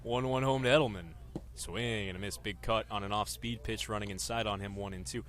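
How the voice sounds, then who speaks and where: Young man, bright sports announcer voice. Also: on the low side, fairly even intonation, brisk, slight background noise. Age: 20s. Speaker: sports reporter and baseball radio play-by-play announcer. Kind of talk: sports reporting and live baseball commentary.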